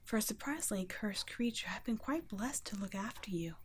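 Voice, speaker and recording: A woman playing a gentle, protective vampire caretaker in an intimate ASMR roleplay, speaking softly and breathily, often nearly whispering. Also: medium pitch, animated, a little fast. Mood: hesitant, serious. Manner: soft, breathy, tender, often dropping to a whisper.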